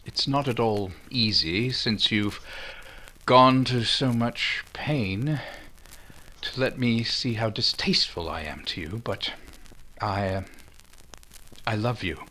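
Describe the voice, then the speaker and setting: An actor playing a proud, ardent Regency gentleman suitor in old-time radio romance style, formal and earnest. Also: fairly low pitch, heavily acted. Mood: hesitant, lovesick. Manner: formal, earnest, halting when moved.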